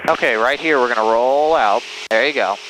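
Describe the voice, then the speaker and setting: A man's voice: medium pitch, a little fast. A man giving hands-on flight instruction in a reassuring way, often checking that he is understood, through a cockpit headset. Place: small airplane cockpit in flight. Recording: loud engine noise over cockpit intercom.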